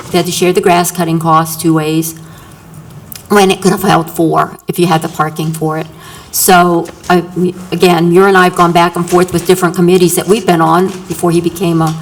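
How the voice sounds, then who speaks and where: A woman's voice: fairly low pitch, a little hiss or hum, low-quality recording. A woman landlord giving an earnest, emphatic public comment at a city council work session, repeating her points. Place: council chamber.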